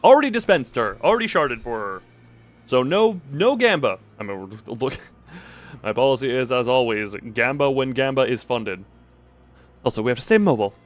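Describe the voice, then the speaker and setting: A man's voice: medium pitch, very animated, moderate pace, faint music behind. A man live streaming a game, reading its dialogue aloud and breaking off for his own asides.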